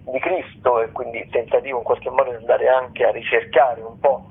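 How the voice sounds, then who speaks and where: Male radio host, creaky and husky, halting as he runs through theatre listings, slightly muffled broadcast sound. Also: mid-range voice, little pitch movement. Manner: hesitant, halting delivery with self-corrections. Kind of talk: semi-improvised radio arts listings. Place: radio studio.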